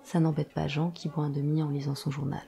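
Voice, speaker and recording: A woman narrates an audio drama from a script. Her voice sits very low for a woman and has a dark, soft-edged mellowness. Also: level tone, fast, vocal fry.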